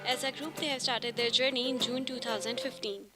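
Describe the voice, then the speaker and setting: A woman narrates a documentary from a script. Her voice sits fairly high, with music clearly playing behind it. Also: steady tone, brisk.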